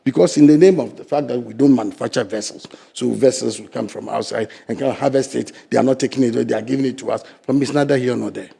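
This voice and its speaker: Older ghanaian guy, ghanaian english accent, gravelly and dark, punches words then drops low. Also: quick pace, few pauses. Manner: emphatic and argumentative, swinging between loud stresses and low asides. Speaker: fisheries sector guest. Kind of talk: studio interview.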